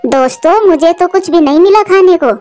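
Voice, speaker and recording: A kind little female sparrow from a children's cartoon, played by a woman. She is sensible and speaks in a high voice with faint music underneath. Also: a little fast, darkish tone. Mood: downcast. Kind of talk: Hindi children's moral story cartoon dialogue.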